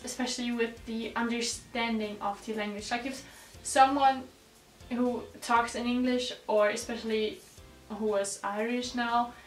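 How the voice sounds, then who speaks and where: A teenage woman from South Tyrol, a native German speaker with a South Tyrolean accent, chatting casually to her viewers as a vlogger. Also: a little high. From South Tyrol, Italy. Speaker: vlogger, exchange student. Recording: roomy echo, distant mic, background music.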